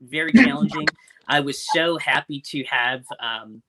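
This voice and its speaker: A man's voice: mid-range voice, unhurried. A young man, an actor, answering interview questions casually over a video call. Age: young adult. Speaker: stage actor and Foley performer. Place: indoors in a room at home, on a video call. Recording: clicky video call with faint noise.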